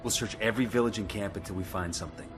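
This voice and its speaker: An actor playing a resolute special forces squad leader in a video game, clipped and businesslike. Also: male voice, lowish voice, racing, natural voice. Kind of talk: video game military dialogue. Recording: game music behind.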